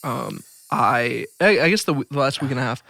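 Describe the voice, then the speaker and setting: A man's voice: mid-range voice, animated, fairly quick, creaky, bright, forceful tone. A man co-hosting a podcast in casual, slangy chat, enthusiastic about the things he likes. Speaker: podcast co-host. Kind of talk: casual chat between friends.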